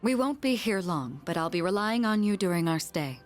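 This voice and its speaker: A woman's voice: medium pitch, lively intonation, brisk, faint music behind, natural voice. A woman playing a refined young heiress in a video game, composed and courteous. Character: poised, refined young heiress with quiet authority. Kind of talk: formal video game dub.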